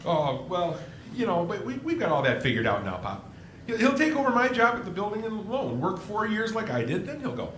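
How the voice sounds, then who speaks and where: A man playing an eager, restless young dreamer in a live radio play, boyish, enthusiastic and a little halting. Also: high-pitched, huge pitch swings, a bit bright, full character voice. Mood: earnest, concerned. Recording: echoey room, faint noise.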